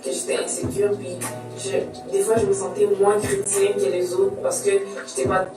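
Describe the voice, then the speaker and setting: A woman's voice: mid-range voice, little pitch movement, normal speed. A young woman in her twenties reflecting on her faith in a hesitant, thoughtful way. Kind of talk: recorded youth testimony. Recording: very echoey room, from far off. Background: music.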